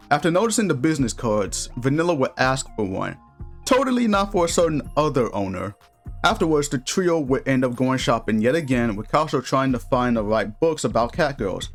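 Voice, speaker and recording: Male reviewer, average pitch, informal and cheeky scripted narration, light music bed behind the voice. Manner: informal, slangy, crude humor. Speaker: video reviewer. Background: background music.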